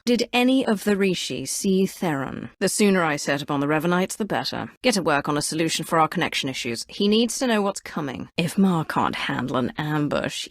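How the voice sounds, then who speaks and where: An imperious, gravelly Sith inquisitor. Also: female voice, lowish voice, no echo, studio quality, heavily acted. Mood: resolute. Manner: commanding, haughty, deliberate dramatic delivery. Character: imperious, menacing female Sith inquisitor, cold and cunning. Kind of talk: video game dialogue acting.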